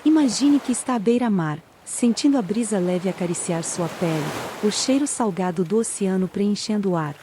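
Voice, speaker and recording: A Brazilian woman leads a scripted guided meditation in a mellow, relaxed voice of medium pitch. Her delivery is calm and soothing, with long pauses between phrases. Gentle sea waves sound faintly behind her. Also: slight background noise.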